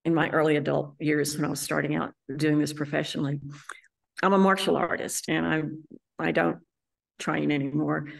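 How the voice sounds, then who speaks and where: A woman in her sixties from West Texas, with a West Texas accent, talking to camera in an earnest, relaxed way about her life as a landscape artist. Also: low voice, gravelly. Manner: relaxed, earnest, personal storytelling. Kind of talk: casual talk to camera. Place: indoor art gallery room.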